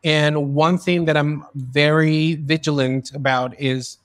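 An earnest man hosts a podcast conversation, his voice sitting a little high for a man. The sound is dry and close, with no room to it. He talks in long stretches and often restarts his sentences. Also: level tone, slow, no echo. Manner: earnest, fluent with frequent restarts. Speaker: podcast host. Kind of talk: conversational interview. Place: in Spain.

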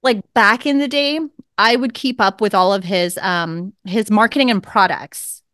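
A woman's voice: mid-range voice, unhurried, a bit bright, no room sound, studio quality. A woman co-hosting a podcast in casual chat from her home office, wry and self-mocking, laughing often. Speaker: podcast co-host.

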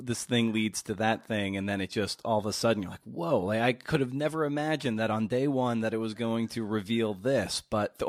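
A man with a fairly low voice hosts a casual interview. He builds his questions gradually, pausing to search for words, and the sound is dry and close. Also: no echo. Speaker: podcast host interviewer. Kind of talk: casual long-form interview.

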